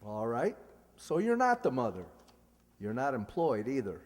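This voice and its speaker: A man's voice: medium pitch, wildly swooping pitch, a little mellow. A man acting a stern, self-righteous young businessman in a radio comedy play, pompous and lecturing. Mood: threatening. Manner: pompous, lecturing, deliberate phrasing. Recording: echoey hall.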